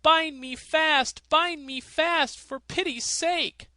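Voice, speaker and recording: A man's voice: very high-pitched, slow pace, pushed and bright, no room sound, clean studio sound, pitched above the performer's own voice, exaggerated voice. A man voicing a desperate old miser in a fairy tale, wailing and pleading. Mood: panicked pleading. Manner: wailing, begging.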